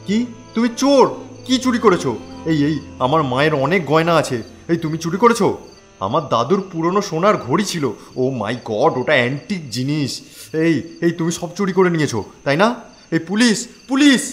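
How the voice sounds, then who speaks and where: Guy doing a self-important son in a cartoon, excitable, voice jumps around, slightly high, music low behind. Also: moderate pace. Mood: alarmed, accusing. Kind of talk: comedy cartoon voice acting.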